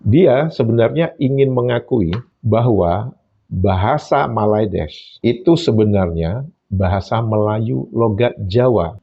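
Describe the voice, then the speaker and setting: A man's voice: lowish voice, breathy edge, lo-fi sound. A man giving solo commentary, emphatic and mocking, often repeating phrases for effect. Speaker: YouTube commentator.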